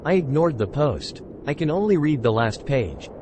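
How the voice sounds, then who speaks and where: A man's voice: a little high, very animated, normal speed. A man playing a curious, reasoning investigator in scripted first-person mystery narration. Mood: pondering. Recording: noisy ambience with vehicle sounds behind.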